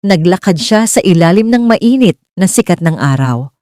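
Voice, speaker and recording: A woman's voice: fairly low pitch, lively intonation, normal speed, warm tone, dry recording, studio quality. A woman narrating a scripted dramatic romance story.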